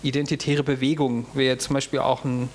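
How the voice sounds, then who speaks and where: A man speaking on a panel discussion, making a deliberate, argumentative statement with frequent fillers. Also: medium pitch, flat delivery, slight background noise.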